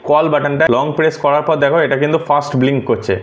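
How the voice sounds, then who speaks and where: Fast-talking man explaining hands-on. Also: a little high, little pitch movement, lo-fi sound, soft background music. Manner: explanatory, mixing English words into sentences. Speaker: vlogger presenting a product unboxing. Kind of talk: casual hands-on tutorial.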